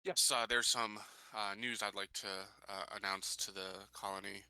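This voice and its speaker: A man who is feeling unwell, playing a tabletop roleplaying game in a hesitant, low-key, casual way. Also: steady tone, moderate pace, pushed and bright, smooth, dry recording.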